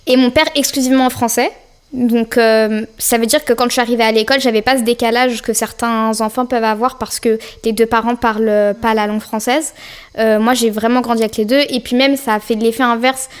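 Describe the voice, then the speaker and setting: A woman's voice: on the high side, steady tone, a little fast, clear, resonant tone. A young woman from France, a podcast guest, chatting casually and easily with plenty of fillers and slang. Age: young adult. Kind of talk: casual interview chat.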